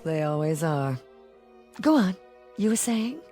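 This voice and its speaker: Low-voiced, suave lady devil. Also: sing-song, unhurried, mellow. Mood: coaxing, knowing. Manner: poised, formal, precise, coolly teasing. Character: suave female devil posing as a travel agent. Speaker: actress in a television drama. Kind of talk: 1960s television drama acting. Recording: old TV soundtrack with background music.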